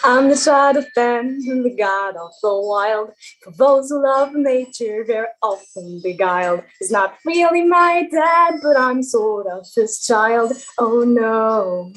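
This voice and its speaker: A woman playing a wistful, gentle young male satyr, singing in an amateur musical read. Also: a little high, expressive, takes it slowly, hardly any pauses, low-quality recording.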